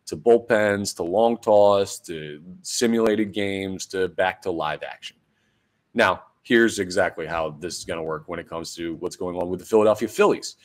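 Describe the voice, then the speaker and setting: A young man hosting a solo sports podcast, his voice hoarse and deeper after a night of drinking, chatting sarcastically with rambling fillers. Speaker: podcast host. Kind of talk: casual solo sports talk.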